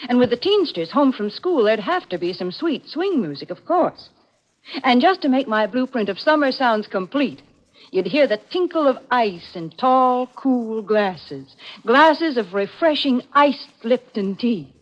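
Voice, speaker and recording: A woman giving a polished, chirpy scripted sponsor's pitch in 1940s radio style. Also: very animated, measured pace. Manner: chirpy, polished sales delivery. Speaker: sponsor's spokeswoman. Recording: dull vintage radio recording.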